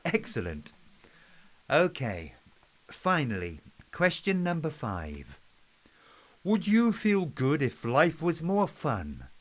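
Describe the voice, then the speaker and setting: Man acting a lively, lilting Prime Minister. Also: a little high. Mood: pleased, rallying. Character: British Prime Minister, polite, folksy, addressing a rowdy crowd. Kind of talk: amateur play script performance.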